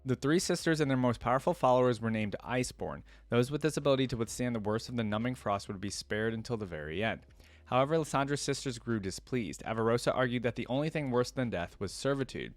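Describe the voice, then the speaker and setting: Male reaction host, medium pitch, informal and conversational between read-aloud passages, dry recording. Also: animated, fast, dry recording. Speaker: host of a video game lore reaction series. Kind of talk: casual reaction commentary mixed with reading aloud.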